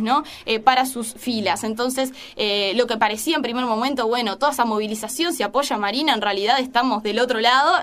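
A woman's voice: a little high, fairly bright tone. A woman giving a conversational political analysis, hesitant, with many fillers and restarts.

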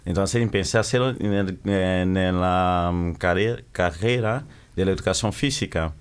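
A man's voice: sits low, clear, resonant tone. A middle-aged man from the Democratic Republic of the Congo, giving interview answers and often stopping to correct his words. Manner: halting, self-correcting, searching for words. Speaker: guest, capoeira practitioner.

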